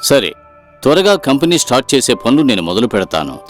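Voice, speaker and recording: A man plays a confident, encouraging businessman in a cartoon tale. He speaks quickly and to the point at a middling pitch, with faint music behind him. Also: big pitch swings, close to an everyday voice. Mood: businesslike, enthusiastic. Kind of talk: dramatised cartoon moral story dialogue.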